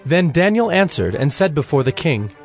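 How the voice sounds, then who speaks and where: A man's voice: fairly high pitch, big pitch swings, normal speed, soft background music. A man narrating a dramatized scripture reading in a scripted storytelling style.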